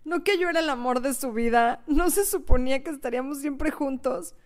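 Heartbroken, high-voiced young woman, acted by a woman. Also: a little fast, close to an everyday voice. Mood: upset, disbelieving. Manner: emotional, dramatic. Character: heartbroken, anxious young woman, obsessed with her ex-boyfriend. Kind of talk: radio drama acting with first-person narration.

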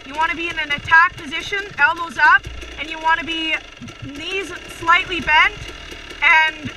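A woman's voice: very high-pitched, steady tone, normal speed, bright, forceful tone, slight background noise, poor audio. A young woman giving upbeat riding tips while out on a forest trail on a dirt bike, recorded on a helmet camera mic. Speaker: motovlogger riding instructor.

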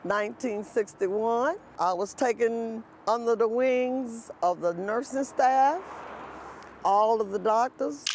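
Slow-spoken woman in her eighties. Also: fairly high pitch, darkish tone, a little hiss or hum. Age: 80s. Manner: slow, deliberate storytelling. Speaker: retired nurse interviewee. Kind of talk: interview recollection. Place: outdoors by a city street.

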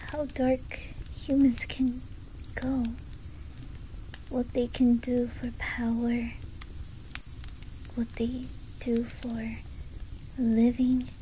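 A woman voicing a gentle, timid young boy with an imitated Swedish accent, speaking hushed and hesitantly in an ASMR roleplay. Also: a little high, slight background noise, rough recording. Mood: shaken, subdued. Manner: hushed, hesitant, halting, often whispery.

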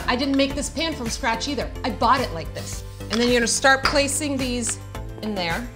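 A woman from Croatia, around her forties, hosting a comedic cooking show in a chatty, self-mocking, animated way. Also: fairly high pitch. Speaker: host of a cooking and parenting show. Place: home-style kitchen, indoors. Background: music.

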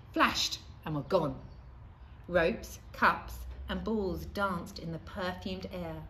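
A middle-aged woman reading a children's storybook aloud expressively, with deliberate, dramatic pauses. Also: on the low side, a bit bright, rough recording. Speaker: primary school staff member reading aloud. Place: outdoors in a leafy school playground. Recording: faint outdoor ambient noise.

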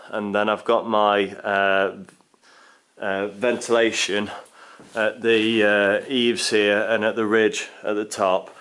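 Slow-talking young man touring a barn build. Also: fairly low pitch, a bit bright. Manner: explanatory, thinking aloud with fillers. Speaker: DIY builder vlogger. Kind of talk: casual walkthrough narration. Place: indoor barn under construction, stone walls. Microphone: handheld camera at arm's length.

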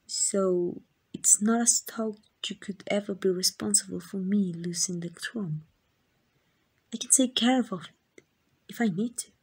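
A woman with a Mexican accent playing a tender, devoted queen in a romantic ASMR roleplay, speaking in gentle, intimate, breathy whispers. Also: mid-range voice, a little slow, rough recording. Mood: tender, wistful. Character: tender, devoted queen in secret love with a commoner.

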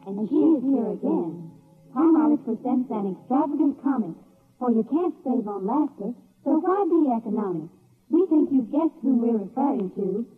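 A man announcing a radio revue in measured, showy rhyme, in 1930s broadcast style. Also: extremely high pitch. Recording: tinny, band-limited 1930s radio broadcast.

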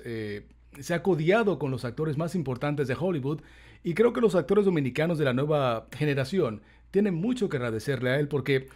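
A middle-aged man hosts an interview in an upbeat, welcoming way, his voice sitting a little high. He speaks close into a studio microphone in a home studio. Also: a little mellow. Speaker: interviewer host. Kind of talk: YouTube interview. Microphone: studio mic on boom arm.

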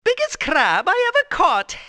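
An excitable old fisherman from a cartoon game. His folksy voice is high and piercingly bright, swinging wildly up and down as he cheerfully encourages. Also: slight background noise, heavily acted. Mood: gleeful.

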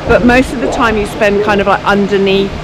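A woman's voice: medium pitch, steady tone, fairly quick. Chatty woman on snowy streets. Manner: chatty, frequent ums, recommending sights. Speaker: travel vlogger. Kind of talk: self-guided walking tour vlog. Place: snowy city streets outdoors. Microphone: handheld camera at arm's length. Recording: outdoor street noise.

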